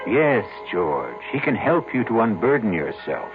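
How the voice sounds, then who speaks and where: A man's voice: fairly low pitch, sing-song, unhurried. A man hosting a radio mystery show with theatrical, scripted narration, dramatic and sardonic in delivery. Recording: tinny band-limited old radio broadcast, music behind.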